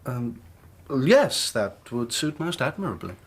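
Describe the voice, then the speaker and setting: An actor playing a young hydraulic engineer, speaking formally, politely and a little guardedly in a radio drama. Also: male voice, medium pitch, big pitch swings, a little slow, slightly rough, heavily acted. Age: young adult. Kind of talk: 1980s radio drama acting.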